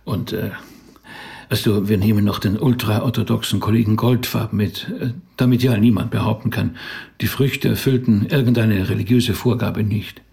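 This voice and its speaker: A man's voice: fairly low pitch, fairly even intonation, normal speed, creaky, dark tone. An actor playing a harried, earnest rabbi who explains things hesitantly to his wife in a naturalistic radio drama. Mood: agitated, earnest.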